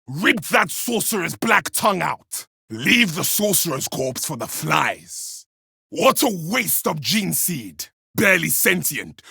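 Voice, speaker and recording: A man voicing a battle-hardened, contemptuous Space Marine warrior in a video game, with a raspy, gravelly voice and forceful, scornful delivery. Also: mid-range voice, dry recording, clean studio sound, exaggerated voice. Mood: scornful contempt. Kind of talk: combat kill barks from a video game.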